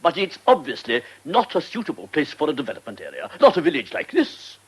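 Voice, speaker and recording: A pompous English minister in a radio drama. His voice sits fairly high and swings wildly up and down as he blusters and scolds like one used to being obeyed. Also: wildly swooping pitch, a little fast, slightly rough, full character voice, low-quality recording. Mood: exasperated. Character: pompous irascible male government minister, middle-aged or older. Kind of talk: British radio drama acting.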